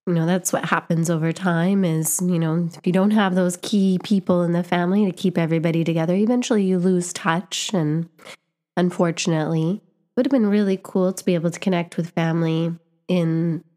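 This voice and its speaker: A woman's voice: fairly low pitch, steady tone, a little fast, mellow. A young woman from Canada talking earnestly and reflectively to camera, often restarting and repeating words as she searches for what to say. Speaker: vlogger and teacher. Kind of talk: reflective personal vlog monologue.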